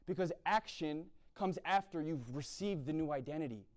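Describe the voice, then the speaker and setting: Young man from the East Coast, blunt preacher, slightly high voice, peppers his talk with "right?". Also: animated, normal speed, darkish tone. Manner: blunt, repeats "right?" often. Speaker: speaker at a university chapel event. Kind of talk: sermon-style talk.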